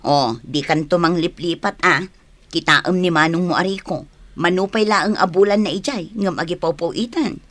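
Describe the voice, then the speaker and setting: A woman's voice: lowish voice, normal speed, fairly bright tone, lightly acted. A woman acting a talkative, proud grown daughter in an Ilocano family radio drama. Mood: eager.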